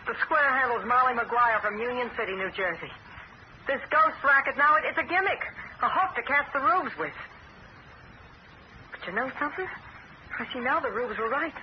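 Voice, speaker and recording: An actress playing a theatrical swindler posing as a fortune-teller, grandiose and later blunt, in a 1970s radio drama. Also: female voice, fairly high pitch, animated, moderate pace, long pauses, close to an everyday voice. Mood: streetwise. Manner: grandiose, then streetwise and blunt. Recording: hissy muffled old radio broadcast.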